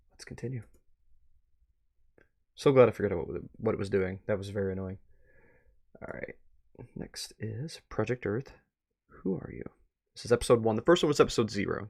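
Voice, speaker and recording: A man's voice: fairly low pitch, gravelly. Chatty man streaming a live reaction. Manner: chatty, excitable, thinks aloud. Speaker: streamer reacting to a web series.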